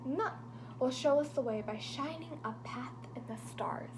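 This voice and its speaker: A woman reading as a hushed kind sun god. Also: sits high, measured pace, noisy background. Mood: gentle, grave. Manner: soft, hushed, sometimes whispered.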